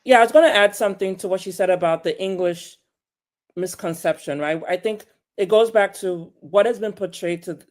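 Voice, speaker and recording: Speaker from Nigeria, podcaster, mid-range voice, animated, emphatic, rhetorical questions. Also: mid-range voice, a little fast. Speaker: podcaster, panelist. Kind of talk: panel discussion.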